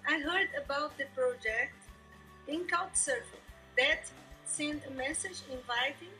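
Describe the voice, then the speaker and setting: Architect lady, 50s, short answers, high bright voice. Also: a little slow, soft background music, poor audio. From Brazil. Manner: brief, simple sentences. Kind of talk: casual interview answers.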